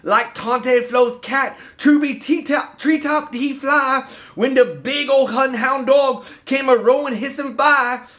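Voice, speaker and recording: Excitable high-pitched Cajun storyteller. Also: male voice, exaggerated voice. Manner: exuberant, declamatory, singsong rhyming delivery. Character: excitable folksy Cajun man telling a Christmas tale. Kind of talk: rhymed storybook dialect read-aloud.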